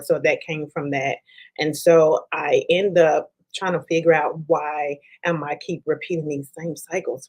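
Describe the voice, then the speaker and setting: A woman in her forties from Dallas, Texas, with a Texan accent, speaking as a podcast guest in a halting way with many ums and restarts. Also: low-pitched, darkish tone. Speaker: podcast guest, life coach. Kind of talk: conversational interview.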